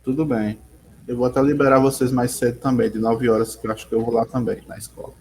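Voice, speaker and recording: A man's voice: medium pitch, level tone, fast, a little mellow, rough recording. Man, geography teacher, Rio Grande do Norte accent, meandering, lots of restarts, video call mic. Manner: rambling, restarts often, addresses students by name. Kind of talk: casual online class. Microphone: computer mic on video call.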